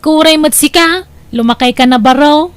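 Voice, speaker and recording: A woman's voice: high-pitched, normal speed, close to an everyday voice. A woman with an Ilocano accent playing a teasing young female cousin in a comedy radio-style drama. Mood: playful, teasing.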